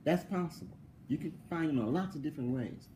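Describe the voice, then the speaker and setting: A man's voice: on the high side, fairly quick, mellow, a little hiss or hum, poor audio. A male philosophy professor answering an interviewer in a conversational way. He asks mocking rhetorical questions, laughs often and repeats points for stress.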